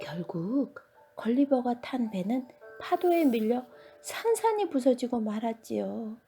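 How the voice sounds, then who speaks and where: A woman reads a children's bedtime story with very lively intonation. Her voice has a creaky edge and often sinks to a dramatic hush, over faint background music. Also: fairly high pitch, unhurried.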